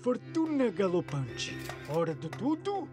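Slow, mystical bread guru. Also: mid-range voice, wildly swooping pitch, over music. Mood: solemn, mystical. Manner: solemn, theatrical. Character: mystical, solemn male bread fortune-teller guru. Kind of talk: animated cartoon dialogue.

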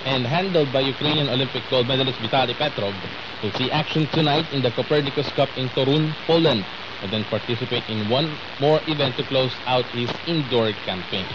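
A man's voice: mid-range voice, fairly quick. A man with a Filipino accent reading sports news as a radio anchor. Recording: dull AM radio reception, loud static. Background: loud radio static.

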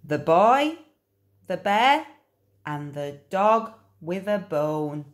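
A woman reading a children's picture book aloud in an expressive, deliberate storytelling manner. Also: on the low side, roomy sound. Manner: slow, animated, deliberate storytelling.

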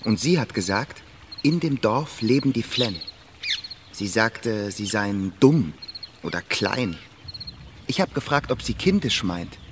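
A man's voice: on the low side, expressive, slow pace, noisy background. An actor playing a plain-spoken, curious male geologist in a radio drama, conversational and matter-of-fact. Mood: puzzled, confiding.

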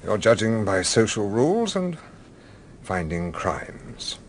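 An actor playing an older, courteous British gentleman scholar in a radio drama, musing and sometimes hesitant. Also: fairly low pitch, big pitch swings, slow pace, a little hiss or hum. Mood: grave, musing. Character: genial, philosophical English gentleman scholar, older, gently evasive.

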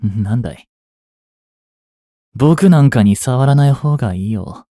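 A man in his twenties playing an obsessive, jealous young stalker in an intimate voice drama, coaxing softly with long pauses. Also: mid-range voice, animated, no echo, clean studio sound. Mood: resigned, despairing. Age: 20s. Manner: soft, coaxing, brooding delivery with drawn-out pauses. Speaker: voice actor. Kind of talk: intimate Japanese voice drama, addressed to the listener.

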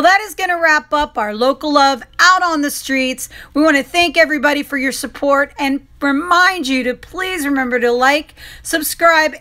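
A woman's voice: sits high, pushed and bright. A woman hosting a local show, narrating with chatty enthusiasm and easy fillers. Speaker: host. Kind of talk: upbeat local promo narration.

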